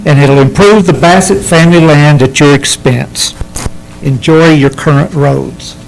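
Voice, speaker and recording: A man speaking at a town council meeting, with a sharp, accusatory tone of warning. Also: a little high, a little slow, creaky, low-quality recording. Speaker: resident speaking at public comment.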